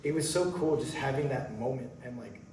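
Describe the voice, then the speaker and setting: A man's voice: mid-range voice, expressive, brisk, darkish tone. A man speaking as an actor in a casual Q&A, rambling with enthusiasm and hesitation. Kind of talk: casual Q&A chat. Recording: echoey room, distant mic, background noise.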